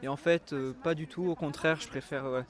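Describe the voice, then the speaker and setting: A young man from the Toulouse suburbs, chatting in a relaxed, informal way with some slang. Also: on the high side, a little fast. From Toulouse suburbs, France. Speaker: interviewee and show host. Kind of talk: casual chat.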